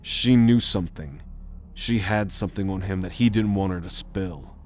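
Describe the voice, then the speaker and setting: Man playing a low-voiced sardonic alien rogue. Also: normal speed, darkish tone, soft background music, lightly acted. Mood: serious, persuasive. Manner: sardonic, commanding. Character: cocky male alien rogue, bold leader on a mission. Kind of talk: ASMR roleplay audio drama.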